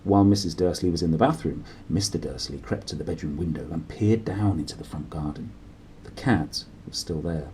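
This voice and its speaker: A man narrating a children's storybook aloud in an expressive style. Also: low voice, a little fast, few pauses, slight background noise. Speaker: storybook reader.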